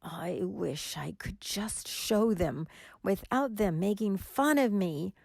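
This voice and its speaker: A woman playing a lonely single woman who feels mocked by her family, in a radio drama, with a gravelly, slow voice. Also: medium pitch, lively intonation, a little mellow, no echo, studio quality, exaggerated voice. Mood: hurt. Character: lonely single middle-aged woman, hurt, self-doubting.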